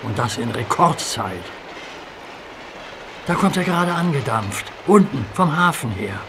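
A man's voice: mid-range voice, big pitch swings, unhurried, vocal fry, background noise. A man narrating a children's radio play in a vivid storytelling manner.

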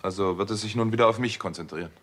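Deep-voiced, sober doctor. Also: male voice, rapid-fire, a bit bright. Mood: wry, defensive. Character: sober, wry male doctor, narrator of a detective story. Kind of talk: 1960s German radio drama acting and first-person narration.

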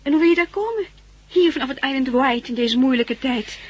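An actress playing an affectionate, curious, gently sceptical young wife in a 1960s radio drama. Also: female voice, high-pitched, lively intonation, brisk, slightly rough, no room sound, lo-fi sound. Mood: teasing, curious. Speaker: radio play actor.

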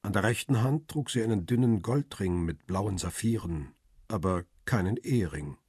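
An actor playing a paparazzo who narrates his own story in a radio crime play, measured, observant and descriptive. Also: fairly low pitch, expressive, darkish tone, husky, dry recording, studio quality. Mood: detached, precise. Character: world-weary male paparazzo recounting his story, coolly observant. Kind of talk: scripted first-person radio drama narration.

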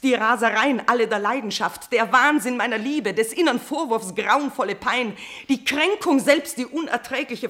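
A woman's voice: fairly high pitch, fairly bright tone. An actress playing a passionate queen tormented by guilty love, declaiming dramatically in a classical verse radio tragedy. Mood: anguished, jealous.

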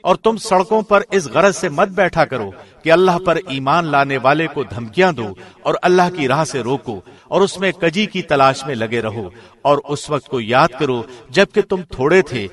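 A man reading a scripture translation from a script in formal, deliberate radio presenter diction. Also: fairly high pitch, a bit bright.

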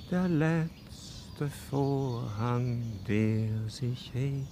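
An actor, a man, playing a solemn mourner reciting an elegy in a radio drama. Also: mid-range voice. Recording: noisy outdoor ambience with birdsong.

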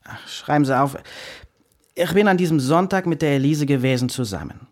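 An actor playing an anguished butcher's son who fears his father, in a radio drama, speaking haltingly with inverted word order and a gravelly, husky voice. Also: a little high, no echo, clean studio sound, close to an everyday voice. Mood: anguished confession. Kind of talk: radio drama, confessional narration.

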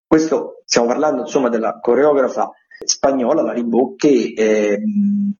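A man hosting a radio show, reading out theatre listings in a semi-improvised, digressive way, often halting and correcting himself with asides. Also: mid-range voice, mellow, dull audio.

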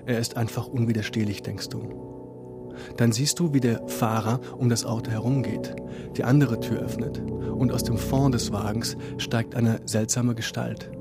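A man's voice: medium pitch, steady tone, unhurried. A man narrating a radio drama, addressing the listener directly in vivid, descriptive present tense. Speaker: performer and narrator in an improvised radio play. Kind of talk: second-person storytelling in a radio drama. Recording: music playing behind the voice. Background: music.

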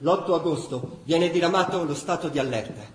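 A man's voice: a little high, a little fast. Echoey male radio-drama narrator. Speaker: actor narrator. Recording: echoey room with faint background noise.

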